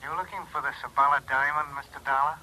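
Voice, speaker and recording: A man's voice: on the high side, fairly even intonation, fast, bright, forceful tone, rough recording. An actor playing a cagey male mystery caller in a 1950s radio drama, curt and insinuating over a telephone. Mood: sly, cagey. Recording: vintage radio, faint noise.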